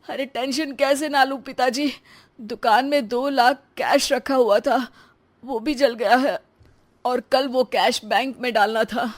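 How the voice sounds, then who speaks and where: A woman's voice: high voice, steady tone, a little slow. A woman voicing a boastful, breezy young husband who spends freely, in a cartoon story. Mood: distressed. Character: showy, carefree husband, big spender, cartoon young man.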